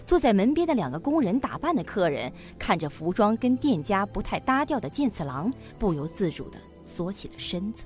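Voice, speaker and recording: A woman's voice: medium pitch, very animated, a little fast, few pauses, a little mellow, soft background music. A woman narrator reading scripted narration for a suspense audio drama.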